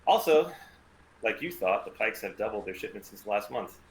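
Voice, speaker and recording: Man as a low-voiced wry mercenary pilot. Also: big pitch swings, moderate pace, slight background noise, poor audio. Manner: dry, sardonic, casual. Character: wry mercenary starship pilot and spy for hire. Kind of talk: amateur script table read.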